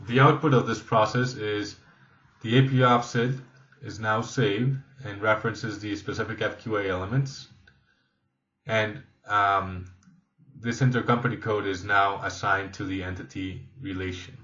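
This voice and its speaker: A man with a fairly low voice walks through a software demonstration step by step. His delivery is instructional and a little halting. The recording is echoey and slightly dull. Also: steady tone. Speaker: software trainer. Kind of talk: instructional screen-demo narration.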